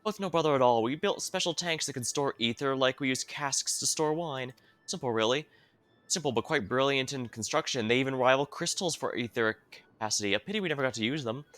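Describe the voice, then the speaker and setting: A man voicing an excitable, eccentric researcher in a video game, with effusive, theatrical wording. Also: medium pitch, quick pace, close to an everyday voice. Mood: cheerful, obliging. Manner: effusive, theatrical wordy speech. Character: excitable overworked researcher, freshly rested, eccentric.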